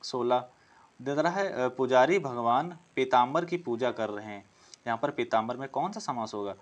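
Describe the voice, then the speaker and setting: A man's voice: mid-range voice, moderate pace. A man teaching an exam-prep class, working through questions, asking them aloud, answering them and repeating key phrases as he explains.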